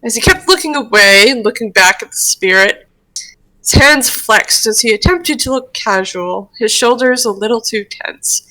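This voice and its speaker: Bright-voiced woman narrating a story. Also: on the high side, moderate pace, low-quality recording. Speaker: roleplay reader and narrator. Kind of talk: informal scripted story narration.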